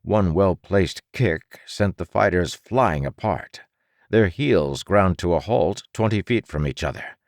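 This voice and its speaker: A man narrating fiction for an audiobook in a dramatic, measured manner. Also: sits low, animated, a little mellow, dry recording, clean studio sound.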